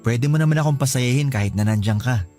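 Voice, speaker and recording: A man's voice: medium pitch, quick pace, a little mellow, faint music behind, lightly acted. An actor playing a lovesick man in a radio drama, coaxing and pleading with his married lover. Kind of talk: Filipino radio drama acting.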